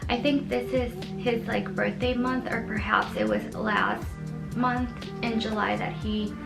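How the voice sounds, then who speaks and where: A bubbly woman in her twenties chats her way through a beauty haul, gushing and giggling as she goes. Her voice sits a little high and her delivery is slow and unhurried. The room is echoey, with clear music playing behind her. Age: 20s. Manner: gushing, excitable, with frequent giggles. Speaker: beauty vlogger. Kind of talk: casual chatty haul vlog.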